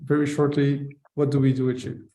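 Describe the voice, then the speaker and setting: A man's voice: mid-range voice, completely flat delivery, a little fast, mellow. Hesitant Belgian man in an echoey room. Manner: hesitant, many fillers and restarts. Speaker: company founder guest. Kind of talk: casual webinar interview.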